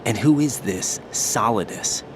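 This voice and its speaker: A man's voice: on the low side, animated, unhurried, background noise. An actor playing a young military operative in a video game, terse and incredulous, questioning what he is told. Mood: probing. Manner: short, terse, puzzled questioning. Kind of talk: dramatic video game acting.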